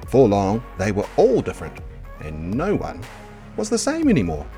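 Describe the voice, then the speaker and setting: A man reading a children's picture book aloud as narrator, in an expressive, measured storytelling delivery. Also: lowish voice, faint music behind.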